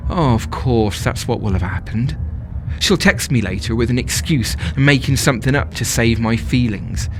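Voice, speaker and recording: A man's voice: medium pitch, moderate pace, faint music behind, lightly acted. An actor playing a smitten, self-doubting young festival-goer, telling his story as a confiding, wry dramatic monologue. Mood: anxious, self-deprecating.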